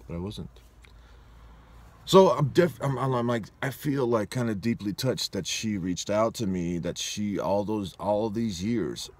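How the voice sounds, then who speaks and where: A man in his forties from Southern California talks through a personal memory in a low voice. He rambles, stops to correct himself and reflects as he goes, in a casual vlog manner. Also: unhurried. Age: 40s. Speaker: vlogger.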